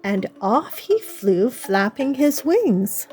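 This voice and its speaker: A woman reading a children's story aloud as an expressive, dramatic storyteller. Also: fairly high pitch, takes it slowly, soft background music. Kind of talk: expressive read-aloud narration.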